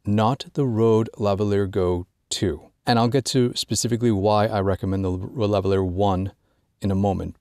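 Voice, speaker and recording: A man presenting an informal instructional product walkthrough, drawing out key words in a measured way for emphasis. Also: on the low side, mellow, clear, resonant tone, no echo, studio quality. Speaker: gear tutorial presenter.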